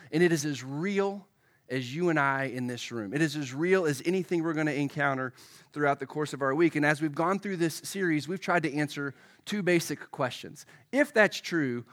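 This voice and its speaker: A man's voice: a little high, fairly bright tone. A man preaching a sermon as a church pastor, a little froggy from a head cold, talking conversationally and putting questions to the congregation.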